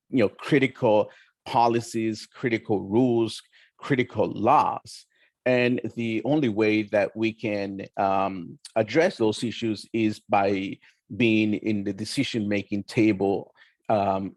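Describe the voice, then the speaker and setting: A young man from Oaxaca, Mexico, whose first language is Mixtec, giving an earnest, measured talk on a roundtable panel with frequent pauses. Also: fairly low pitch, a little mellow, dry recording. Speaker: community educator and roundtable panelist. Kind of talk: roundtable presentation.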